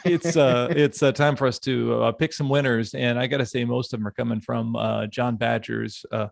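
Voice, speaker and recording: A man's voice: mid-range voice, fairly even intonation, normal speed, creaky, no echo, studio quality. A man hosting a casual podcast chat in an enthusiastic, effusive manner. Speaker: podcast host.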